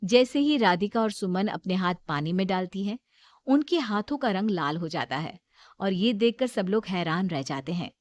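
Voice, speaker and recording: A woman's voice: medium pitch, animated, normal speed, no room sound. A woman narrating a scripted moral story as a storyteller.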